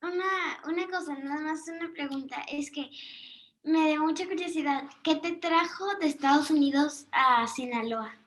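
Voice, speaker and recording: Female, high-pitched, hesitant questioner. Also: moderate pace, a bit bright. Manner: hesitant, with restarts.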